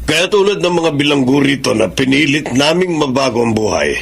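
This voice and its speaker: A man's voice: medium pitch, big pitch swings, normal speed, gravelly, fairly bright tone, a little hiss or hum. A man playing an encouraging, fatherly prison warden in a Filipino radio drama.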